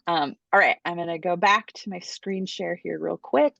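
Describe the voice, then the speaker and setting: A woman's voice: fairly low pitch, steady tone, normal speed, vocal fry, fairly bright tone, no room sound. A woman hosting a casual online Q&A class, talking informally over a video call. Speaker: host of a book publishing Q&A class. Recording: dull lo-fi video call audio.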